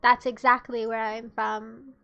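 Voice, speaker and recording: A woman's voice: a little high, flat delivery, a little slow, sharp tone, dull audio, soft background music. A young woman from the United States streaming live, chatting casually and teasing her chat in a playful way.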